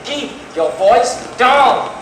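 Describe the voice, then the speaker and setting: A young man playing a gruff, secretive, pushy businessman in an amateur stage play, curt and domineering. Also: very high voice, lively intonation, extremely slow, full character voice. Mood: irritated. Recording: distant mic in echoey noisy hall.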